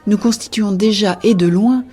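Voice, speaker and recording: A woman playing an earnest, idealistic woman in a French science fiction audio drama, speaking softly and reflectively. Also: mid-range voice, moderate pace, breathy edge, lightly acted. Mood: earnest, explaining.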